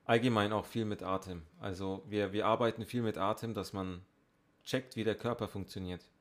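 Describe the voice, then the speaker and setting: A man with a medium-pitched voice, chatting casually as a podcast co-host in a dry, matter-of-fact way. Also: steady tone, normal speed. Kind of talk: casual banter and chat.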